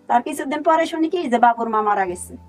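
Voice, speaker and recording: A woman's voice: on the high side, animated, brisk, a little mellow, faint music behind. A woman with a Bangladeshi accent telling a personal story as a guest. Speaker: guest, relative of another guest.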